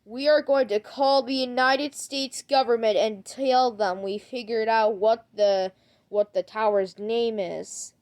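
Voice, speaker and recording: A woman acting out an improvised amateur skit, speaking haltingly and repeating her words. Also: fairly high pitch, fairly even intonation, a little mellow. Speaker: creator acting out an emergency alert skit.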